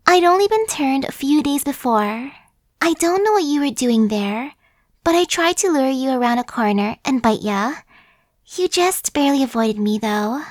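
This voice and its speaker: A woman's voice: sits high, no echo, clean studio sound. Woman playing a sly chatty zombie girl. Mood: coaxing. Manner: coaxing, persuasive, casual chatter. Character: chatty friendly female zombie, coaxing and sly. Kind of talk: ASMR roleplay.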